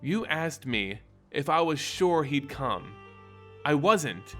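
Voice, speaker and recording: Storybook werewolf heroine played by a man: idealistic, conflicted, heartfelt, slightly high voice with music underneath. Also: sing-song, slow pace, sharp tone, heavily acted. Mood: regretful. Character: earnest, troubled werewolf woman, idealistic and conflicted. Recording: music behind the voice.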